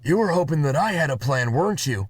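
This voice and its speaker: A man voicing a sardonic male vampire comrade who makes dry, deadpan quips in a raised voice. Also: fairly high pitch, quick pace, slightly rough, no echo, voice pushed up. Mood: wry. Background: music.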